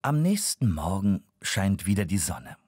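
A man's voice: sits low, very animated, a little slow, a little mellow, slightly rough, dry recording, studio quality, soft background music. A man giving scripted narration for a children's audio drama.

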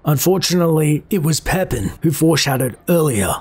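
Male video essayist, scripted narration, slightly high pitch, husky. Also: animated, fairly quick, darkish tone.

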